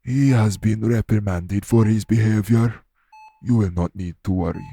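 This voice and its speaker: A man playing a calm, formal official of a secret organization in an audio roleplay, speaking in a measured, matter-of-fact way to the listener. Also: fairly low pitch, creaky, breathy edge.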